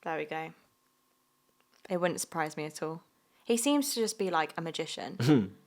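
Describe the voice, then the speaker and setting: A woman's voice: low voice, big pitch swings, normal speed. A young woman with a southern English accent, co-hosting a casual sports podcast in a playful, joking way. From South of England. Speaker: podcast co-host.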